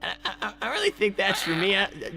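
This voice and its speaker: A man voicing an anxious, excitable young fox sidekick, flustered and stammering over repeated words, improvising in character on a livestream. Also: sits high, fairly quick, gravelly, faint music behind, exaggerated voice, rough recording. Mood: earnest, hesitant.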